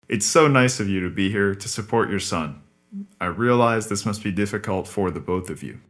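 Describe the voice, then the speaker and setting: Formal, patient therapist for podcast drama, played by a man: low register, measured and explanatory. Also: lively intonation, fairly bright tone. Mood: polite, welcoming. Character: calm, courteous male psychotherapist, patient and formal. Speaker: actor in a scripted podcast drama. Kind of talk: naturalistic audio drama acting.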